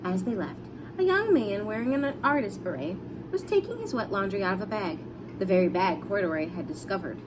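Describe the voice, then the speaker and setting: A woman's voice: medium pitch, animated, brisk, noisy background. A woman reading a storybook aloud expressively for young children, in simple explanatory fashion. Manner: explains words simply as she reads. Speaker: storybook reader.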